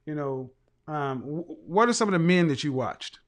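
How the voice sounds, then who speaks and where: A man's voice: on the high side, expressive, normal speed. A man hosting a casual live-stream chat, blunt and rambling, talking. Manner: blunt, rambling, with frequent restarts and profanity. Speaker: livestream host and image consultant.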